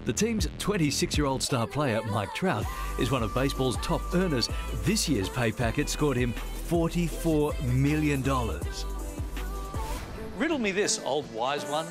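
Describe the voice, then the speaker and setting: A man's voice: medium pitch, lively intonation, a little slow, music in the background. A middle-aged Australian man presenting a travel segment, upbeat and full of puns. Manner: playful, punning, upbeat. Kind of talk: scripted travel voice-over and banter.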